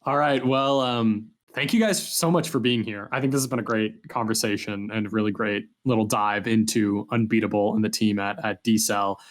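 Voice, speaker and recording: A male podcast host with a fairly low-pitched voice. He speaks in a casual, conversational way, as an interviewer chatting with guests. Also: steady tone, normal speed, few pauses.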